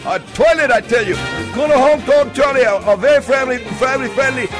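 A man voicing a loud, excitable male restaurant owner who brags about his place in a brash, sing-song pitch. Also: fast, over music.

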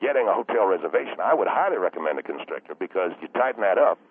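Brief: smug gadget pitchman played by a man, very low voice with big swoops, tinny phone line. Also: big pitch swings, rapid-fire, breathy edge, heavily acted. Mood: boastful.

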